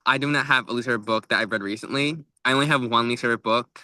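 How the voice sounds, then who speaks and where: A man's voice: medium pitch, racing, sharp tone, no echo, clean studio sound. A young man co-hosting a casual book chat, blunt and emphatic, with "like" peppered through his sentences. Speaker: book podcast co-host.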